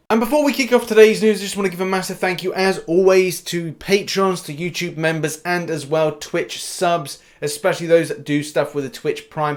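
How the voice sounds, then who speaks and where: Higher-pitched British man presenting gaming news. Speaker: gaming news YouTuber and host. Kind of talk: upbeat scripted gaming news commentary.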